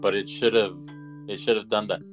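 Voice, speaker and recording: A man's voice: fairly low pitch, fairly even intonation, a little slow, over music, low-quality recording. A man in a casual podcast conversation, talking as a co-host. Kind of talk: casual chat.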